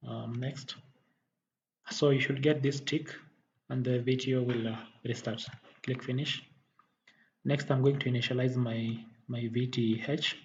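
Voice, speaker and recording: A man giving a software tutorial as a technical instructor, halting and often rephrasing as he explains. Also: mid-range voice, fairly even intonation. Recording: dull muffled audio, faint background noise.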